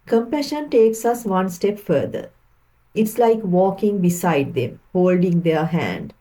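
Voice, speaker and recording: A woman guides a meditation with a very mellow, relaxed tone. Her voice sits a little low, and she speaks very slowly. The delivery is gentle and calming, leaving space between phrases. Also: low-quality recording.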